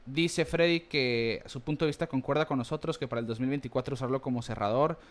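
A man co-hosting a casual baseball podcast, reading listener comments with quick asides. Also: medium pitch. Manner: chatty, reads comments aloud with quick asides and laughs.

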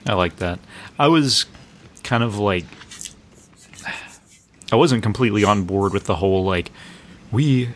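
A man's voice: fairly low pitch, measured pace, pauses often, a little hiss or hum. A man hosting a casual podcast chat, talking in a hesitant, conversational way. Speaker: podcast host.

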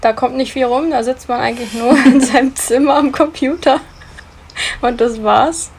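A woman in her twenties from the Munich area, co-hosting a podcast over a video call, relaxed and giggly, often chiming in with short remarks. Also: high voice, normal speed, gravelly, a little hiss or hum. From Munich area, Germany. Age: 20s. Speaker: podcast co-host. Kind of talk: casual chat.

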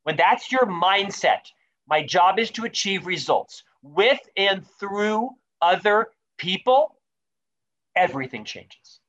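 A man in his fifties, a bit high for a man, makes his points with emphatic conviction. He stacks up lists and repeats key phrases, and he enunciates each word with deliberate care. The sound is dull and lo-fi, like remote call audio. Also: lively intonation, fairly bright tone. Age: 50s. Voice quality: careful, deliberate enunciation from speech coaching. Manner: emphatic and repetitive, building lists of questions. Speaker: guest, leadership author and speaker. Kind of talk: animated interview answers.

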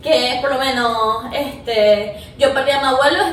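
Venezuelan young woman, high and echoey. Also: fairly even intonation, a little slow, fairly bright tone. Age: young adult. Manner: slangy, emotional, keeps asking "me entiendes". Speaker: guest filmmaker. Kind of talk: casual podcast chat. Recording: echoey room, far from mic, faint noise.

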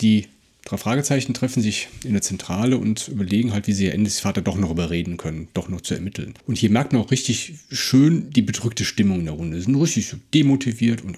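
A man's voice: fairly low pitch, mellow, slightly rough. A man co-hosting a casual discussion, retelling and explaining a story in a conversational way. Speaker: podcast co-host.

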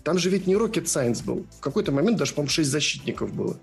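A man's voice: on the high side, expressive, fast, gravelly, faint music behind. A man co-hosting a casual football podcast, chatty and playful as he sets up topics and teases his co-host.

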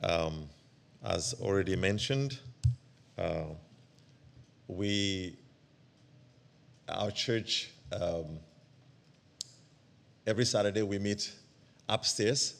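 A man from Ethiopia, preaching as a guest in church, halting and full of fillers and restarts. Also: low-pitched, gravelly. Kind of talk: church sermon.

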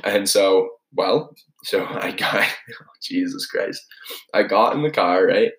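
Young guy, deep low voice, rambly podcast storytelling, tons of likes and you knows. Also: animated, slow, fairly bright tone, rough recording. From New England. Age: young adult. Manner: rambling, heavy use of filler words. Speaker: podcast host. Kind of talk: casual solo storytelling.

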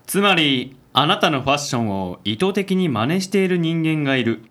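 An actor playing a suave, affected, good-natured company president in a radio drama. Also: male voice, on the high side, big pitch swings, moderate pace. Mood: pondering, smooth. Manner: suave, theatrical, gentlemanly.

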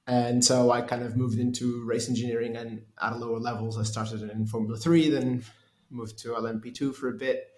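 A man's voice: mid-range voice, flat delivery, fairly quick, few pauses, roomy sound. A man from Portugal in his thirties, a race engineer answering interview questions in a hesitant way with many restarts. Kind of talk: conversational interview.

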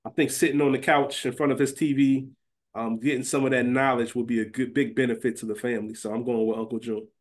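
A man's voice: mid-range voice, little pitch movement, quick pace. A man co-hosting a podcast, chatting casually and slangily and repeating phrases for emphasis. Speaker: podcast co-host. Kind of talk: casual fantasy-draft banter.

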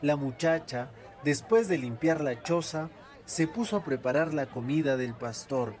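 Male storyteller, fairly high voice, slow measured delivery of folk narration. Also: animated, a little mellow, some chatter behind. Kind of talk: scripted folk tale narration.

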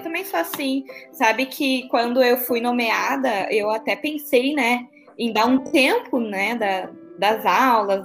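A woman in her thirties from Porto Alegre with a strong gaúcho accent, chatting casually as an interview guest, laughing easily and using plenty of fillers. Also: on the high side. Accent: Porto Alegre (gaúcho) Portuguese. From Porto Alegre, southern Brazil. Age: 30s. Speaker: guest, court officer and study mentor.

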